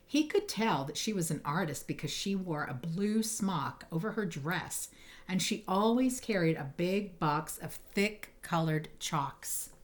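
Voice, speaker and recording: A woman reading a children's picture book aloud, with expressive phrasing and emphasized words. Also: fairly low pitch, a little slow. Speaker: storybook reader.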